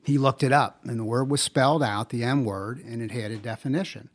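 A middle-aged or older American man tells an anecdote in a conversational, deliberate way, pausing as he goes. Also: medium pitch. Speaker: talk show participant. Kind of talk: conversational storytelling.

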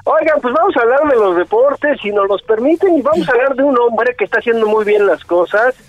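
A man's voice: extremely high pitch, expressive, a little fast, no echo, dull audio. A Mexican man in his forties, chatting casually about sports on a radio show, rambling a bit with restarts. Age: 40s. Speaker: sports commentator.

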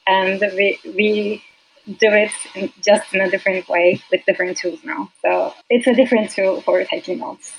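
A woman whose first language is Turkish, a UX designer as a podcast guest, talking hesitantly with many fillers and soft laughs. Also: mid-range voice, fairly even intonation, normal speed, gravelly, slightly rough, low-quality recording. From Turkey. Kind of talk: casual interview.

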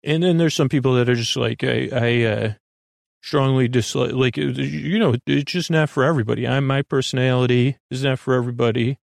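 A man with a creaky voice hosting a sleep podcast, talking in a meandering, hesitant monologue that trails off into tangents. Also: mid-range voice, fairly even intonation, brisk, no room sound, clean studio sound. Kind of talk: rambling monologue to help listeners sleep.

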